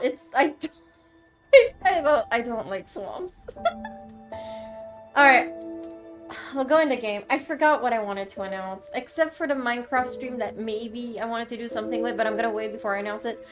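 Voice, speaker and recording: A high-voiced woman with a Quebec French accent chats loosely with her stream viewers, trailing off and laughing as she goes. Plain game music plays clearly behind her throughout. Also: moderate pace. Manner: chatty, rambling, laughs often, thanks viewers. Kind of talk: casual chat with viewers.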